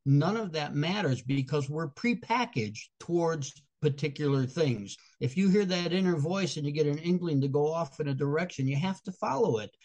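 A man's voice: fairly high pitch, expressive, normal speed, few pauses, a little mellow. Chicago-accented older man, earnest and explanatory. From Chicago, USA. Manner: earnest, explanatory, with small restarts. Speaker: guest speaker and comedian. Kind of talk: casual interview answers.

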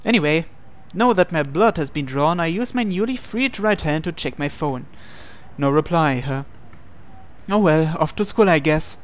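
Higher-voiced young man reading aloud. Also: animated, normal speed. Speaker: host reading a visual novel aloud. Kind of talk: live read-aloud of narration and dialogue. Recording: music behind the voice. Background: game background music.

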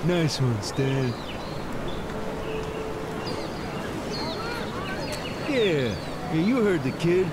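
A man's voice: a little high, very animated, slow, heavy background noise. An actor playing a simple, good-natured male sidekick who agrees along, in comic game cutscene style. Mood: teasing. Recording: loud music over the voice.

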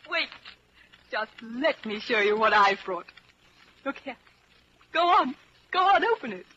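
An actress playing a bold, affectionate young woman and secret lover in a 1940s radio drama, tender and lively. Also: fairly high pitch, a little slow, heavily acted. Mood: eager, excited. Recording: muffled, noisy 1940s radio recording.

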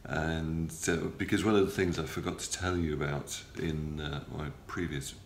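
A middle-aged man with a cold, recording a halting, matter-of-fact video diary from a hospital room on a front-facing camera, with many ums and uhs. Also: extremely low pitch, fairly even intonation. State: has a cold, stuffy. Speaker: patient video blogger. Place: small hospital room, indoors. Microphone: phone or camera close up, front-facing. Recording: roomy with faint background hum.

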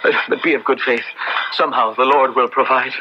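An actor playing an anxious, compassionate parson in a radio drama, speaking earnestly and urgently in formal, old-fashioned English. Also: male voice, mid-range voice, steady tone, brisk, close to an everyday voice. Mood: anxious, tender. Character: large, anxious, compassionate male parson helping a fugitive. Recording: muffled, very noisy old radio recording.

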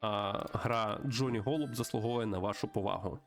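A man's voice: fairly low pitch, normal speed. A man giving playthrough commentary in a tongue-in-cheek, mock-earnest way. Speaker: video game streamer. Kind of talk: playthrough commentary with dramatic reading. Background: music behind the voice.

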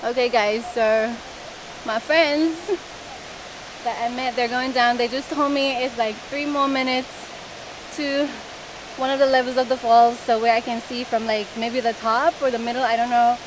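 A fairly high-voiced woman in her thirties, out of breath as she talks to a handheld camera on a forest stairway. Her chatty travel-vlog patter keeps breaking into sighs and puffs. A clear rush of waterfall noise runs behind her outdoor recording. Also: fairly even intonation. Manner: breathless, chatty, sighing between lines. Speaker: travel vlogger. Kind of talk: casual vlog talk to camera. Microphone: handheld camera at arm's length. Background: rushing waterfall noise.

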